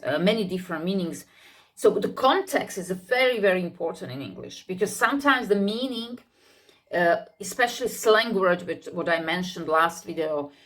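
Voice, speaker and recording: Female English teacher, lowish voice, unscripted explainer, searches for words and repeats herself as she goes. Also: fairly bright tone. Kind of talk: unscripted video lesson.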